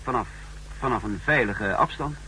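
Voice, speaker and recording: A man playing a nervous, hesitant double agent in a 1960s radio drama, speaking formally with stammering pauses. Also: medium pitch, sharp tone, a little hiss or hum, close to an everyday voice, low-quality recording. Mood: deferential, bold. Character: nervous, hesitant male double agent posing as a spy chief. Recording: humming old 1960s radio recording.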